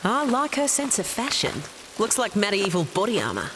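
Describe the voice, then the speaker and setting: A woman playing a cool, sharp-tongued and sceptical treasure hunter in an audio drama, with sardonic delivery. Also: mid-range voice, big pitch swings, moderate pace, noisy background, close to an everyday voice.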